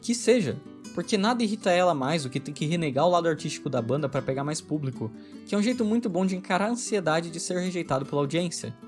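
Male video essay narrator, brisk and wry, soft music bed underneath. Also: fairly high pitch, animated. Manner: brisk, opinionated, wry delivery.

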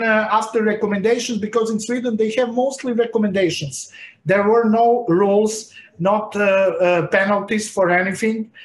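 Serbian-born man on an echoey video call. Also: high voice. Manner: hesitant, many filled pauses, animated hand gestures. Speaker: interview guest, medical professor. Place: home room with icons on shelves. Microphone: video call webcam. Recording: echoey room, faint noise.

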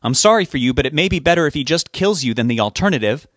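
An outraged, stubborn older husband in an audiobook story, played by a male narrator. He is indignant and loud, refusing to budge. The recording is dry. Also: a little high, animated, fast, no room sound, pitched above the performer's own voice. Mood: adamant, alarmed. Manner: yelling, indignant, adamant.

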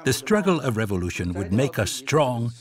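A man giving a measured, reflective voice-over translation for a documentary interviewee. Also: mid-range voice, very animated, a crowd in the background.

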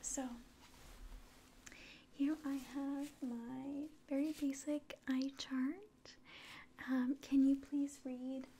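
Slow, whispering young woman doing ASMR. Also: sits high, flat delivery. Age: 20s. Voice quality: breathy whisper and very soft speech. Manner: gentle, hesitant, polite clinical instructions. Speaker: ASMR roleplayer playing an alien doctor. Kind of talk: whispered ASMR medical exam roleplay. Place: small indoor room at home.

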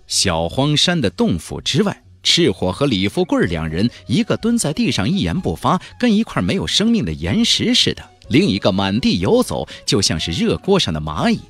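A man's voice: medium pitch, huge pitch swings, moderate pace, few pauses, fairly bright tone, slightly rough, soft background music. A man narrating a fantasy novel as a dramatic scripted audiobook.

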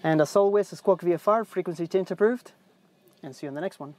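A man's voice: sits high, fast, background noise. Young man presenting from a cockpit. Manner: upbeat, scripted presenter delivery. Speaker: pilot and aviation channel host. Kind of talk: product review presented to camera. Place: aircraft cockpit on the ground.

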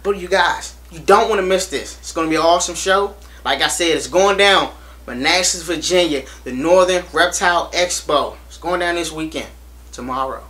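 A young American man vlogging to camera in a small room, hyped and animated, repeating himself as he urges viewers to come. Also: a little high, moderate pace. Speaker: reptile channel vlogger. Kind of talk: casual to-camera promo vlog. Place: small indoor room against a plain wall.

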